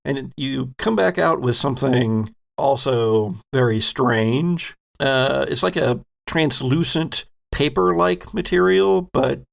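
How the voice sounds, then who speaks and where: Gravelly-voiced male game master. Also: medium pitch. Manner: laid-back, wry, pauses in his narration. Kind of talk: narrating a tabletop game.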